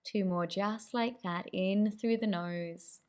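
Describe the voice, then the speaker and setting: A woman leading a guided meditation as the instructor, with gentle, inviting instructions. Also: mid-range voice, steady tone, takes it slowly, smooth.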